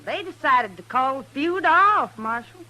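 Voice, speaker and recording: An actress playing a stubborn, rough-spoken American frontier woman, folksy and defiant in an old-time radio western. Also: high-pitched, animated, unhurried, bright, forceful tone, exaggerated voice, poor audio. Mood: pleading, insistent.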